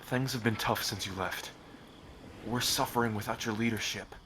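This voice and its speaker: A man's voice: on the low side, fairly even intonation, moderate pace, fairly bright tone, a little hiss or hum, close to an everyday voice. Man acting a loyal rescuer, crickets behind. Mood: earnest. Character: loyal male friend, scrappy protective would-be rescuer. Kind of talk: ASMR roleplay, acted one-sided dialogue. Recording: faint night ambience with crickets.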